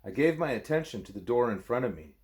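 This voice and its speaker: A man, a parent, reading a book aloud expressively as a bedtime story, with a wry, deadpan manner. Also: mid-range voice, fast.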